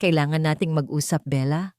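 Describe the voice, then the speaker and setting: A man's voice: fairly high pitch, fairly even intonation, brisk, a little mellow, clear, resonant tone, no room sound, clean studio sound, lightly acted. A woman voicing a tired, confused grown son burdened with guilt in a dramatic love story. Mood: guarded. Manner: tired, subdued.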